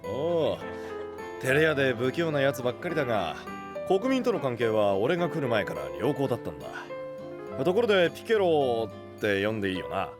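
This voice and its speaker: A man playing a rough-talking but big-hearted young guardian and gang boss in an anime voice drama, blunt and reassuring. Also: mid-range voice, sing-song, brisk, music in the background, natural voice. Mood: friendly, businesslike. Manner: blunt, tough street talk, reassuring.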